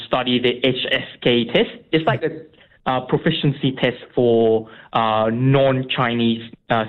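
Young man, first language Vietnamese, phone-line guest, hesitant, lots of fillers. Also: mid-range voice. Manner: halting, many fillers and restarts. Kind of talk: radio interview.

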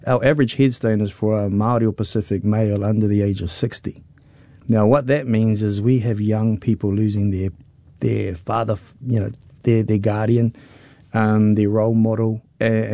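A man's voice: lowish voice, creaky, dark tone, no room sound. A man answering interview questions earnestly, often repeating words as he starts. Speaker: guest, business owner.

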